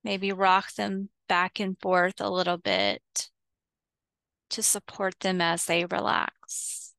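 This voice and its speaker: A woman leading a guided visualization as a meditation teacher, soothing and unhurried, leaving long pauses between short phrases. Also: lowish voice, flat delivery, gravelly, fairly bright tone, no room sound.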